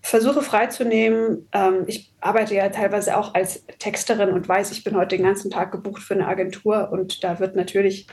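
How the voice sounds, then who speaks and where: Woman author getting interviewed, chatty n reflective, mid pitch, drops english words in. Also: flat delivery, moderate pace, few pauses, darkish tone. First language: German. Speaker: author and copywriter, interview guest. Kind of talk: casual, reflective interview conversation.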